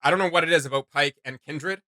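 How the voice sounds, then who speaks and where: A man's voice: a little high, fast talker, sharp tone, dry recording, clean studio sound. A man hosting a reaction video, giving casual, emphatic and opinionated commentary.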